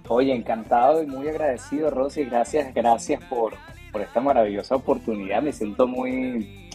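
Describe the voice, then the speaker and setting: A man's voice: medium pitch, normal speed, dark tone, soft background music. A Venezuelan man in his thirties, a singer-songwriter being interviewed, answering in a gracious, earnest way with many repeated words and fillers. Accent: Venezuelan Spanish. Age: 30s.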